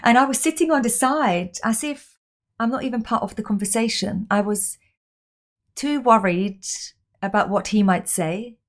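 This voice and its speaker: A woman's voice: a little high, normal speed. A woman from Austria, a podcast guest telling her personal story in an open, reflective way.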